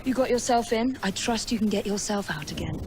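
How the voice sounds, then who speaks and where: A woman's voice: medium pitch, expressive, a little fast, a little hiss or hum, close to an everyday voice. An actress playing a curt, cool young female assassin in a video game cutscene. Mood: dry, dismissive. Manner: curt, demanding, dry. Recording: game audio under loud music.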